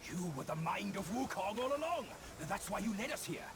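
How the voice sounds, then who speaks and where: Hot-headed game pig-man sidekick: high voice, blunt, impatient, wildly animated intonation. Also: normal speed. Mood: realizing. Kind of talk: dramatic video game dialogue. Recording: music behind the voice. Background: game score music.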